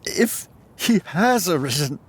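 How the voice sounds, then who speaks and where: An actor playing the apostle Peter, telling the story in a halting, stammering first-person way. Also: male voice, a little high, big pitch swings, breathy edge, heavily acted. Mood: remorseful. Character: remorseful apostle Peter, a grown fisherman. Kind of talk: first-person dramatic narration. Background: music.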